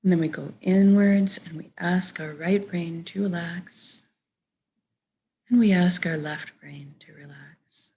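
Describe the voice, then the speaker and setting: A woman leading a guided meditation, speaking in a gentle, measured, soft way as she gives soothing instructions. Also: on the low side.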